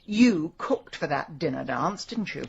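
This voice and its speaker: Blunt, wry English lady detective, gravelly and lively, radio play. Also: deep voice, a little slow, dull audio, heavily acted. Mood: accusing. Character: sharp-tongued, determined amateur woman sleuth. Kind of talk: radio drama acting.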